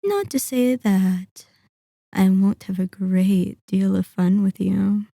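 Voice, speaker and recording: A woman voicing a centuries-old, sadistic and possessive vampire in an intimate ASMR roleplay, speaking in a deliberate, taunting, commanding manner. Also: medium pitch, vocal fry, dark tone, dry recording, studio quality. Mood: coaxing, seductive. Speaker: audio roleplay performer.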